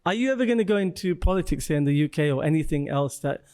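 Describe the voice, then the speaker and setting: Encouraging podcast host, fairly high voice. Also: male voice, fast talker. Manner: encouraging, with steady "yeah" affirmations. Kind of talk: podcast interview.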